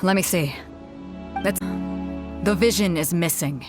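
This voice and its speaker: A woman's voice: fairly low pitch, measured pace, over music, close to an everyday voice. A woman playing a brash, commanding female captain in a video game, with a bold, showy delivery. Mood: annoyed, firm. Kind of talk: video game character acting.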